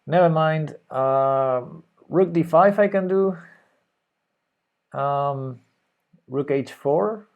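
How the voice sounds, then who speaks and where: A man's voice: on the high side, drawn-out words, long pauses, clean tone, phone-quality sound. Spanish man muttering chess moves aloud. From Spain. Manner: thinking aloud, hesitant, muttering move names. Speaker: chess streamer. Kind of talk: live chess commentary while playing.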